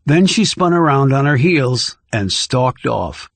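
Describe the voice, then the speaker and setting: A man's voice: mid-range voice, lively intonation, takes it slowly, creaky, dry recording. A man reading scripted thriller narration as an audiobook narrator.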